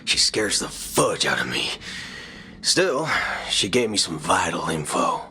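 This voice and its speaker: Bright, low-voiced swaggering space gunslinger. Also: male voice, big pitch swings, a little slow, a little hiss or hum, heavily acted. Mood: folksy candor. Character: folksy, swaggering male gunslinging space ranger, principled. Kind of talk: video game cutscene acting. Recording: music behind the voice.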